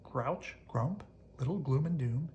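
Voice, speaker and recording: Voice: mid-range voice, animated, slow pace, a little mellow, highs cut off, slight background noise. A storybook reader narrating expressively aloud for children, as if at bedtime.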